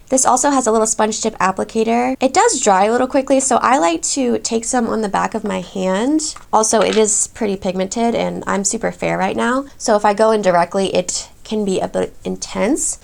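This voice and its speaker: A chatty woman in her thirties reviews makeup as she applies it, gushing over each product. Her voice sits a little high and breaks into a gravelly fry, and she sounds slightly congested, getting over a cold. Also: darkish tone. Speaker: beauty vlogger. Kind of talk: makeup tutorial.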